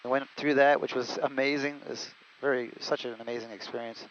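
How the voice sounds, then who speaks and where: A man of medium pitch talks very fast and casually as a pilot in a small jet cockpit. His voice comes through an aviation headset, slightly dull and muffled. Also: steady tone, slight background noise. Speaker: pilot, guest co-pilot. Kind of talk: casual chat, recounting flying career.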